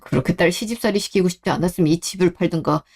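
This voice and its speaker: A young man voicing a protective husband in a radio drama, speaking in a low, firm and steady way. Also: racing. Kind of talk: Korean radio drama acting.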